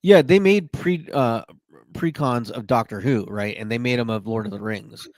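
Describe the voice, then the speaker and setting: A man's voice: mid-range voice, moderate pace, darkish tone, no echo. Dry-sounding male podcast co-host. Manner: enthusiastic, explains card game rules. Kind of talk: casual chat.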